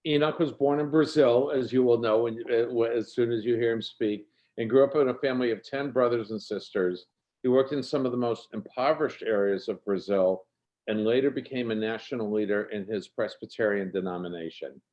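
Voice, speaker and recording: A man's voice: mid-range voice, brisk, a little mellow. A man introducing a guest speaker on a video call, reading a biography in a friendly, hesitant way. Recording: dull lo-fi video call audio.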